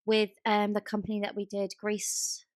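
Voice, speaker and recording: Female podcast co-host, English accent, mid-pitched, animated casual storyteller, dry recording. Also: normal speed, no echo. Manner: animated, chatty storytelling with acted-out quotes. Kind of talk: casual chat.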